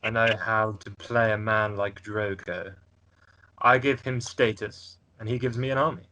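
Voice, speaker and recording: A haughty exiled prince with royal ambitions, acted by a man at a table read. His voice is bright and cutting, full of disdain, over a faintly noisy recording with clicks. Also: fairly low pitch, steady tone, measured pace. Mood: ambitious, proud. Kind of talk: amateur script table read.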